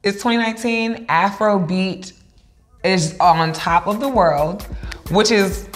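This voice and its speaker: A woman's voice: medium pitch, takes it slowly, faint music behind. A young woman, a dance instructor, telling her story in a casual interview, upbeat and chatty with frequent fillers. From United States, raised by Ghanaian parents.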